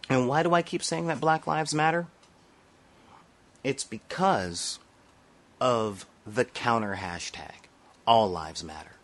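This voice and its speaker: A middle-aged man from Los Angeles with an American accent, speaking an unscripted, earnest opinion rant to camera and repeating phrases for stress. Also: mid-range voice. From South Central Los Angeles, United States. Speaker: vlogger.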